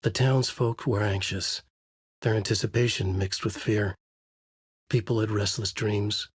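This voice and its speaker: An actor playing a brooding, haunted male writer, narrating a noir horror thriller in a measured, grave, dramatic way with a husky voice. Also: fairly low pitch, steady tone, no echo, studio quality. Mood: brooding narration.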